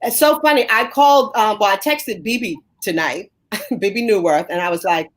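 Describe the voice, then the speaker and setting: A woman's voice: mid-range voice, normal speed. A middle-aged woman hosting a casual video-call chat, bubbly and encouraging, laughing often. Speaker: guest host.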